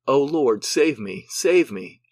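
A man's voice: mid-range voice, lively intonation, takes it slowly, a little mellow, no room sound, studio quality, voice pushed up. A man voicing a frightened young man who desperately pleads his innocence. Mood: pleading.

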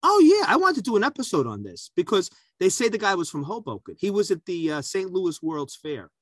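Chatty man, higher pitched and joking. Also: very animated, fairly quick, no echo, clean studio sound. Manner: talkative, joking storyteller. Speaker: podcast co-host. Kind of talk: casual food chat.

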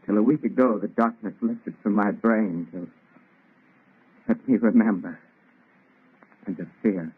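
An actor playing a tormented young scientist with fervent, theatrical delivery in an old radio melodrama. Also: male voice, on the low side, fairly even intonation, measured pace, pauses often, husky. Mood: fearful, anguished. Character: overwrought young scientist, tormented and intensely emotional. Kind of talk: 1930s radio melodrama acting. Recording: thin, tinny old radio recording.

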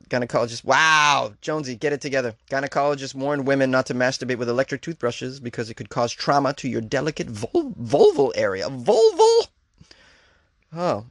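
Guy doing a comedy news bit, middle-pitched voice, goofy asides, laughs at his own jokes, dry close sound. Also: no room sound. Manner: mock-incredulous asides. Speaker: host. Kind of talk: comedic news reading and riffing.

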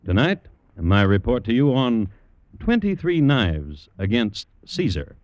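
A man hosting and narrating an old radio crime show, dry and deliberate. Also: medium pitch, very animated, no room sound, lo-fi sound. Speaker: host and narrator. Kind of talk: 1950s radio scripted narration. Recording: hissy old radio recording.

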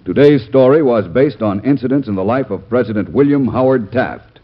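A man's voice: medium pitch, animated, normal speed. A man reading scripted announcements and credits in mid-century radio announcer style on a muffled old broadcast.

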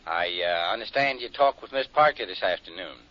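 An American actor playing a mild, easygoing small-town sheriff in a 1950s radio drama. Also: male voice, deep voice, animated, a little fast, no echo. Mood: mild, curious. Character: folksy, unhurried small-town male sheriff. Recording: muffled, dry vintage radio recording.

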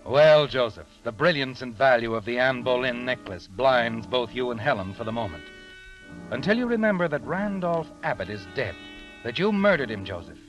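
A man's voice: medium pitch, sing-song, moderate pace, muffled recording, close to an everyday voice. A man playing an ominous, all-knowing narrator in a 1940s radio drama, taunting a killer directly. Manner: ominous, addressing the listener as the character. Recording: old radio recording with music.

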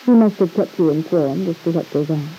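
A woman's voice: low-pitched, a little fast, dark tone, close to an everyday voice. An actress playing a flippant, defensive young woman with an arch, ironic manner in an old-time radio soap opera. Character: flippant, defensive young wife and mother, a niece. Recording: muffled hissy old radio recording.

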